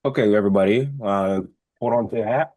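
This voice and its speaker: A man with a fairly low voice speaks as a podcast co-host. His delivery is casual and conversational, like relaxed chat among co-hosts. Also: fast talker.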